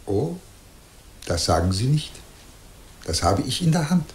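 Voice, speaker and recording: An actor playing a courteous, probing science professor in a literary radio drama, speaking politely and deliberately. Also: male voice, mid-range voice, big pitch swings, a little mellow, a little hiss or hum. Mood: confiding, explanatory. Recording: roomy, echoing sound.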